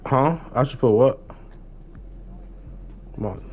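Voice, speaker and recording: A man's voice: medium pitch, slow, husky, phone-quality sound. A young adult man chatting casually in street slang as he streams live gameplay. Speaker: game streamer.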